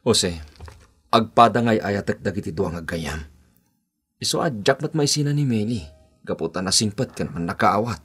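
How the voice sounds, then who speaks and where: A two-timing young Filipino boyfriend in radio melodrama. His voice is on the low side and rough-breathy, always placating and evasive. Faint music plays behind him. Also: lively intonation, moderate pace, long pauses, a bit bright. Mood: defensive. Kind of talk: Ilocano radio drama acting.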